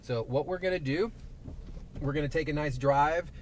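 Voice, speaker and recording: A man's voice: fairly high pitch, normal speed. A man with a Southern twang, a traffic safety reporter chatting casually through a live stream from inside his truck, rambling with lots of fillers. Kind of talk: live-streamed casual commentary while driving. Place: inside a parked and moving truck. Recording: faint vehicle noise behind.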